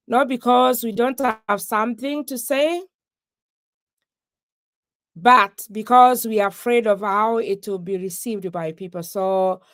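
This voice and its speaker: A woman from Kenya talks unhurriedly on a video call, searching for words with frequent pauses. Her voice sits in a middle register. The sound is dry, with no room echo. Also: medium pitch, smooth. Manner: slow, halting, many "uh" pauses, thanks God often. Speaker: guest speaker. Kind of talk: faith-filled testimony on a live call.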